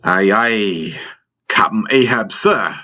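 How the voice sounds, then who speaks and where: A man's voice: fairly low pitch, expressive, slow, pushed and bright, dull audio, full character voice. A man voicing a wisecracking starship pilot who needles his crewmates with sarcasm. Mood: mocking.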